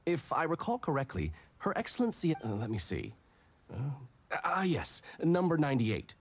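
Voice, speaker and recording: A man's voice: on the high side, wildly swooping pitch, moderate pace, a little hiss or hum. A man voicing a dutiful soldier in a video game, formal, officious and fussily by-the-book, as if reciting rules.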